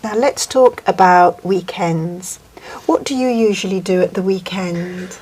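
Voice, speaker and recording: Lady examiner w a husky breathy voice, reads questions politely. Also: on the low side, a little mellow. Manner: measured, formal, polite. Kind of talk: scripted exam questions.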